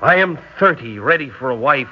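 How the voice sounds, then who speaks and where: A man's voice: medium pitch, animated, fairly quick, close to an everyday voice. An actor playing a polite, hesitant nineteen-year-old seaman from Northern Ireland in an old radio drama. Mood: fond reminiscing. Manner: polite, hesitant, formal British phrasing. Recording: dull old radio recording, music behind.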